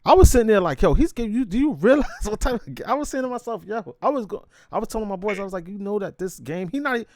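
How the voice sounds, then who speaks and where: A man's voice: high-pitched, expressive, fast talker, few pauses, a little mellow, a little hiss or hum. An American man hosting a casual interview in slangy, agreeable talk, often chiming in. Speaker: interview host.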